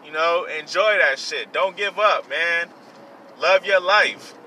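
A man's voice: fairly high pitch, slow pace, pushed and bright, distant mic, a little hiss or hum, rough recording. A man in his late twenties hosting a podcast, talking in an earnest, repetitive, self-reflective way with many fillers. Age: 20s. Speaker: podcast host. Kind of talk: personal motivational monologue.

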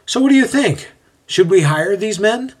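A man plays an eager young man welcoming guests in an audiobook story. He talks in an animated, informal, friendly way, pitched a little up, with a slightly husky edge. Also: normal speed. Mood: playful.

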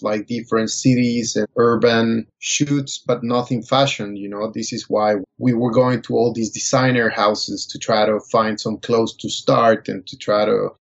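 A man from Colombia, speaking as a guest and telling his story in a relaxed, thoughtful way. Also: medium pitch, creaky, highs cut off. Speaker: guest photographer. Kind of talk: casual interview answers.

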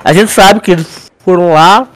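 A young Brazilian man with a notably high-pitched voice co-hosts a casual podcast. His talk is informal and slangy, peppered with filler words as he chats. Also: normal speed, low-quality recording. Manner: slangy, with frequent filler words like "tipo" and "mano". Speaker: podcast co-host. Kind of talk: casual chat about a sci-fi audio drama.

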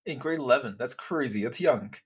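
A man from England hosting a podcast interview in a relaxed, chatty way with frequent fillers. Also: mid-range voice, brisk, breathy edge. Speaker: podcast host. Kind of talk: casual interview chat.

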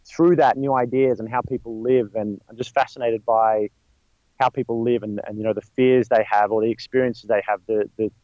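A man's voice: medium pitch, steady tone, normal speed, dark tone, no echo, highs cut off. A man with an Australian accent, chatting as an interview guest, rambling with many fillers and restarts. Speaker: guest, stuntman. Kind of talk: casual interview talk.

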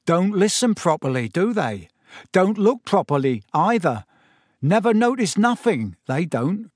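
Brash teenage conductor, high-pitched, slangy, cheeky, nosy, storybook character. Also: male voice, big pitch swings, measured pace, dry recording, clean studio sound, exaggerated voice. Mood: contemptuous. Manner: cheeky, slangy, dropped sounds and double negatives. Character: brash, nosy young bus conductor, eighteen or nineteen.

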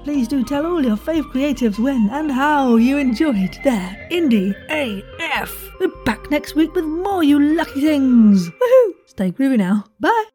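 A woman's voice: high-pitched, big pitch swings, unhurried, mellow, faint music behind. A woman hosting a podcast, chatting and presenting in an effusive, exuberant and encouraging manner. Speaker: podcast host. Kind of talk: enthusiastic interview chat and presenting.

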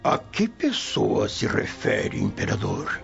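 A man's voice: lowish voice, wildly swooping pitch, slow, creaky, exaggerated voice. An actor playing an elderly, deferential Roman nobleman in a radio drama, courteous, formal and hesitant. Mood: flustered, shocked. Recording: dull lo-fi radio drama recording with music. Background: music.

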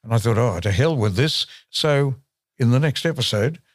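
A man's voice: medium pitch, lively intonation, normal speed, no room sound. An older man co-hosting a podcast, telling rambling stories about his early career in casual chat. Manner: rambling, reminiscing storyteller. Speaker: podcast co-host.

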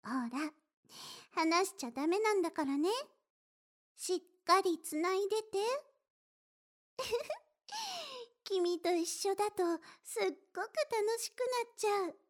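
Very high-pitched, doting big-sister anime voice acted by a woman. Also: slow pace, pauses often. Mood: excited, flirty.

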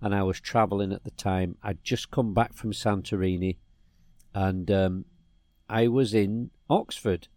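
A middle-aged man from England chats on a podcast and spins a meandering story full of restarts. His voice is warm and mellow. The sound is dry and close. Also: lowish voice, fairly even intonation, unhurried, dry recording. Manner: rambling storyteller, many restarts. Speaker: podcast co-host. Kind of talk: casual chat.

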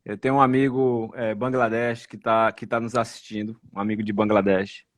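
Halting man on a dry mic. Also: fairly low pitch, level tone, dry recording. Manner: hesitant, often restarts and repeats words. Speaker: host of an online live stream. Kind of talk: casual live chat.

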